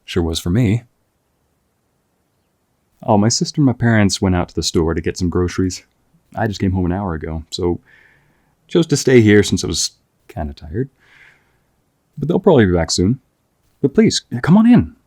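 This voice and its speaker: Man acting a deep, husky young soldier, affectionate. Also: big pitch swings, moderate pace, long pauses, no room sound, studio quality. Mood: pleasantly surprised. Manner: gentle, affectionate, hesitant, chuckling conversational delivery. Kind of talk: intimate audio roleplay acting.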